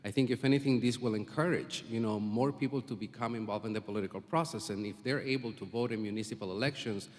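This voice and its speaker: A man testifying at a public hearing, earnest and full of filler sounds. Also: fairly low pitch. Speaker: community advocate testifying. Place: public hearing in a school building. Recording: long reverb of a hearing hall.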